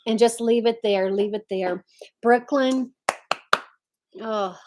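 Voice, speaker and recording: Lady, middle aged, highish voice, folksy effusive livestream host chatting to viewers. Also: slow pace, noisy background. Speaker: host of a live chat. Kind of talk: casual live-stream chat.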